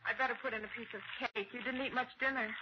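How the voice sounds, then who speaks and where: An American actress playing a gentle, worried and quietly persistent young wife in a 1960s radio drama, in a plaintive manner. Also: female voice, a little high, steady tone, racing, lightly acted. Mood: concerned. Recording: dull, noisy 1960s radio recording.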